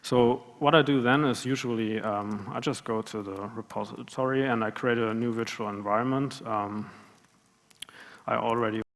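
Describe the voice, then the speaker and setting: A man's voice: medium pitch, steady tone, normal speed, a bit bright. A man giving a live coding demo at a conference, talking hesitantly with many fillers and restarts. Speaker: conference speaker. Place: large conference room. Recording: long hall reverb.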